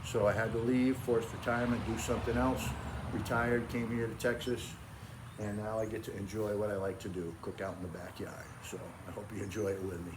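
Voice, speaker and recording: A retired man in his sixties with a Boston accent talks to camera in an easy, folksy way, full of friendly asides. His voice sits a bit low, and the outdoor recording carries plenty of background ambience. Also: flat delivery, a little fast, noisy background. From Cape Cod, Massachusetts. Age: 60s. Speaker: grilling vlogger. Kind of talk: casual chat to camera. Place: backyard by the grill, outdoors.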